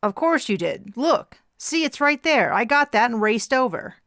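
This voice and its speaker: A woman's voice: fairly high pitch, very animated, normal speed, no room sound, clean studio sound, voice pushed up. A woman voicing a shaken, subdued young man. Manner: quiet, subdued.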